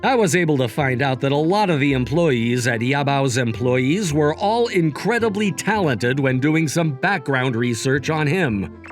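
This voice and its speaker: A man's voice: medium pitch, lightly acted. A man voicing a composed, principled young company CEO in a manga dub, speaking in a firm, explanatory way. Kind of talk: manga dub narration and dialogue.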